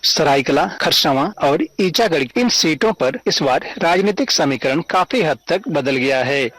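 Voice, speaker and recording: Male correspondent, Indian accent, fairly high-pitched voice, formal scripted field report for radio news. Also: a bit bright.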